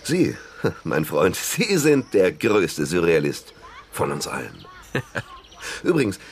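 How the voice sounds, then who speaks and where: A man's voice: deep voice, wildly swooping pitch, slow, creaky, slight background noise, heavily acted. An actor playing a grandiose, effusive male surrealist intellectual in a German radio crime drama, with a pompous, declamatory manner. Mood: grand, amused.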